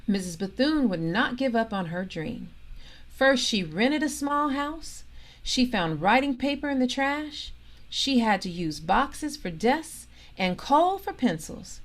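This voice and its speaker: Slow, expressive woman reading a children's story. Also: fairly high pitch. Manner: expressive, deliberate storytelling delivery with emphatic pauses. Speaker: storybook reader, organisation president. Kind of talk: scripted children's story read-aloud.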